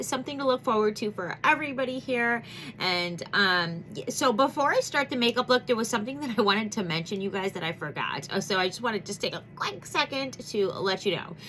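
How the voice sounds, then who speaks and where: Woman, 30s, beauty vlogger, nasal and stuffed-up, bubbly, rambling, bright voice, faint background hum. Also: medium pitch. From Michigan. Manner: bubbly, gushing, rambling with many fillers. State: congested, nasal. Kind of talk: chatty makeup vlog intro.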